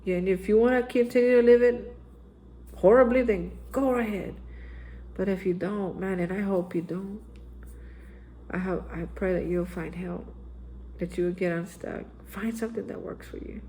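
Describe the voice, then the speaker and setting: A man's voice: high-pitched, moderate pace, dark tone, a little hiss or hum, low-quality recording. Earnest man giving a recovery pep talk. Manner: earnest, exhorting, repeats phrases and says "man". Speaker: recovery vlogger. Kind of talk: daily spiritual reflection monologue. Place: Austin, Texas area.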